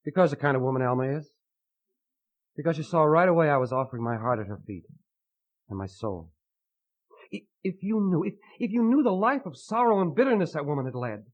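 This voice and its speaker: An actor playing an earnest, lovesick young man who speaks in halting, poetic, fervent phrases in a melodramatic radio drama. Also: fairly high pitch, very animated. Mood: fervent adoration. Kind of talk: melodramatic old-time radio acting. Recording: muffled vintage radio recording.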